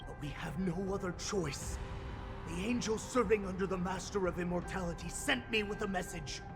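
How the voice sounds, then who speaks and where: A cunning, devoted beast servant from a video game, addresses a master with humble formality. The voice is high for a male and theatrically deferential. Music plays underneath. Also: moderate pace, vocal fry. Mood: pleading, devoted. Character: cunning humble male beast counselor disguised as fox-man, reverent, devoted. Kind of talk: dramatic video game voice acting. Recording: game audio with music behind.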